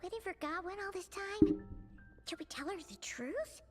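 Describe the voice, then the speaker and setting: A woman voicing a small, excitable fairy companion in a video game, childlike and given to exclaiming. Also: extremely high pitch, moderate pace, over music. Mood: concerned. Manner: exclaiming, refers to self in third person. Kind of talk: animated video game acting.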